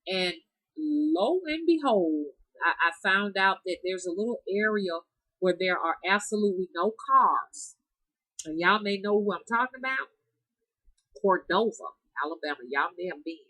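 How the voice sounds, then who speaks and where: A woman's voice: mid-range voice, lively intonation, moderate pace, bright, forceful tone, no room sound, rough recording. A woman, chatting casually to her viewers in a folksy way, her voice nasal and congested from sinus trouble. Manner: folksy, calls herself Mama, addresses viewers as y'all. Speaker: spiritual practitioner vlogger.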